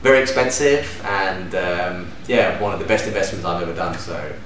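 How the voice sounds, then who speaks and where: A man in his thirties from Denmark, a DJ and producer, answering interview questions to camera in a relaxed, enthusiastic way with lively hand gestures. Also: low-pitched, moderate pace. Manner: relaxed, enthusiastic, gestures with hands while talking. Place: living room at home, indoors. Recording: echoey room, distant mic, faint hum.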